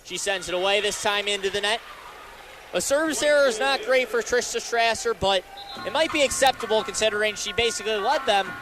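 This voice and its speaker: High-pitched young male play-by-play announcer. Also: brisk, over music. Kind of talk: live volleyball play-by-play commentary.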